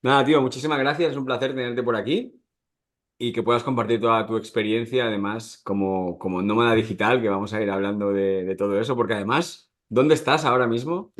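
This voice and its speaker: A man's voice: mid-range voice, fairly quick. A man hosting a podcast, addressing listeners and guest in an informal, direct way. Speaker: podcast host. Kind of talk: scripted podcast intro and casual interviewing.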